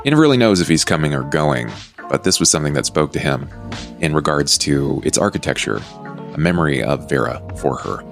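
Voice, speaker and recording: Man, extremely low pitch, deliberate phrasing, casual tabletop roleplay player, faint music. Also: expressive. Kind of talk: in-character description in casual talk.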